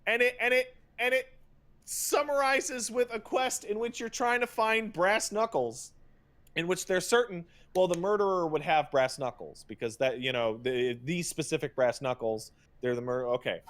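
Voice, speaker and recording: Male podcast host, fairly high voice. Emphatic, sweary, conversational rant with repeated words for stress. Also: a bit bright. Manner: emphatic, profane, halting with repetitions and mocking paraphrases. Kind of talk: casual ranting commentary about video games.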